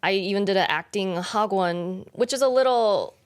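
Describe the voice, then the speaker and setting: A woman's voice: medium pitch, moderate pace, clean tone. A woman from Michigan co-hosting a podcast, chatting casually with many "like" fillers, swearing freely and dropping Korean phrases into her English. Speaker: podcast co-host. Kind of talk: casual chat.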